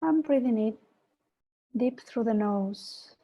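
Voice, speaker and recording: A woman from Peru, a mindfulness teacher, giving an online talk and gently guiding a meditation over a video call. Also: fairly high pitch, low-quality recording. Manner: slow, soft guiding voice with gentle invitations. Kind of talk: online presentation and guided meditation.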